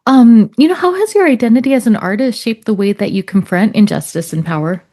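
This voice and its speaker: Brief: woman interviewer, affirming, mellow voice. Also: on the high side, animated, brisk. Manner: attentive, affirming. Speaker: interview host. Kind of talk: interview questions and backchannel.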